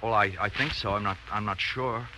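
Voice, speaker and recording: An actor playing a nervous, two-faced middle-aged weakling who feigns loyal friendship, hesitant and stammering, in 1940s radio drama style. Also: male voice, fairly low pitch, fairly even intonation, fairly quick, natural voice. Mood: nervous, apologetic. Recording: noisy, crackly old radio recording.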